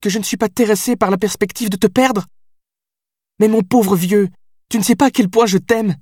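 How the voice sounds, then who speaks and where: A man's voice: medium pitch, steady tone, normal speed, breathy edge, dry recording, clean studio sound, natural voice. A man reading an audiobook voices a passionate, heartbroken young woman who pleads and cries out. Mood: tearful, furious. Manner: pleading, then shouting through tears. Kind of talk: audiobook character voice.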